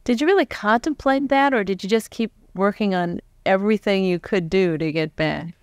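A woman's voice: mid-range voice, normal speed, smooth, no room sound, studio quality. A middle-aged woman speaking conversationally as an interviewer. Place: stage before a live audience. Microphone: large foam-covered microphone on a stand, close.

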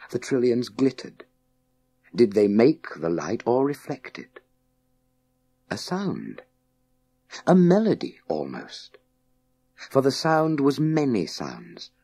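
A man reading a children's science fiction audiobook in a measured, suspenseful, dramatic way with deliberate pauses. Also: fairly low pitch, warm tone, breathy edge, no echo. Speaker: audiobook narrator. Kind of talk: scripted dramatic narration of a children's sci-fi story.

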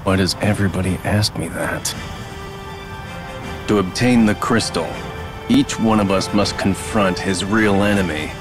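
A man playing a cold, stoic young lone-wolf warrior in a video game, terse, aloof and deadpan. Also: deep voice, a little slow, pauses often. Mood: curt resolve. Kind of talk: video game cutscene acting. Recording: loud music behind the voice. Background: game music.

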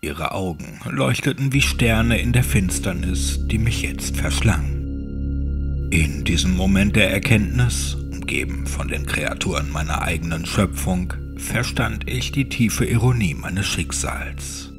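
A man narrating a scripted horror story in a measured, solemn and dramatic way, his phrases sometimes sinking toward a hush. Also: low voice, expressive, creaky, over music.

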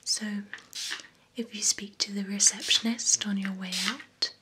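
Whispering, unhurried vampire beautician played by a woman. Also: mid-range voice, fairly even intonation. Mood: soothing, professional. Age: young adult. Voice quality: breathy, whispered soft speech. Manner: slow, soothing, formal, attentive. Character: poised, courteous female vampire spa beautician. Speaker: ASMR performer. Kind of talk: whispered ASMR roleplay. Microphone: close binaural microphone. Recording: close ASMR microphone with background hiss.

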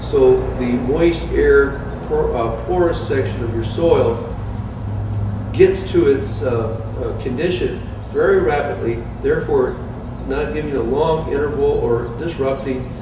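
A man in his fifties, a sports turf manager, giving an informal, halting technical explanation to camera. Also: mid-range voice. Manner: halting, hesitant delivery. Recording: distant, roomy sound with clear background noise.

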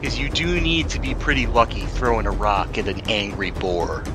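A man's voice: fairly low pitch, moderate pace. A man acting as game master in a tabletop role-playing game, joking and swearing freely. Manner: jokey, swearing, laughs often. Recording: music playing behind the voice. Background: music.

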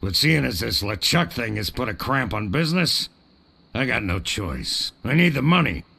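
A man voicing a gruff, crusty sword trainer in a cartoonish adventure game, barking, bragging and contemptuous. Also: mid-range voice, sing-song, moderate pace, bright, forceful tone, heavily acted. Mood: grudging, blustery. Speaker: sword fighting coach.

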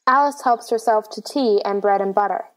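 A woman's voice: medium pitch, brisk. A woman reading stage directions as the narrator, in scripted narration.

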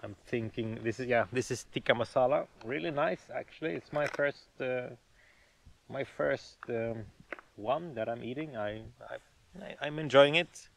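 Relaxed man vlogging outdoors. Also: medium pitch, normal speed, vocal fry, slight background noise. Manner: relaxed, hesitant with many fillers. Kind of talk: casual outdoor vlog. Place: outdoors in a forest by a lake. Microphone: camera held at arm's length.

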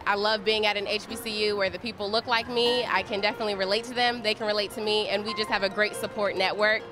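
A woman's voice: mid-range voice, fairly even intonation, fast talker. A young woman, an engineering student, speaking upbeat and enthusiastic in a casual interview. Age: young adult. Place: exhibition hall. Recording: music playing under voice.

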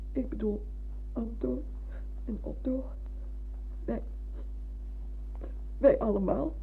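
An actress playing an older, fretful, chatty retired village schoolmistress in a radio drama, halting and repeating herself as she fusses. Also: medium pitch, vocal fry, a little hiss or hum. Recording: muffled, humming old radio recording.

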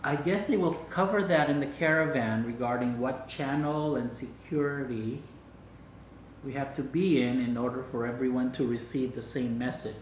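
A man's voice: medium pitch, fairly even intonation, moderate pace. An older man chatting casually as he hosts a home vlog, testing out a gadget. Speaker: vlog host. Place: dining room at home. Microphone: camera microphone across the room. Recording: echoey room, distant mic, faint noise.

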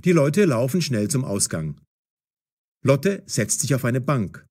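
A man narrating a scripted audio story for language learners in a measured, clearly enunciated way. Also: mid-range voice, very animated, a little mellow.

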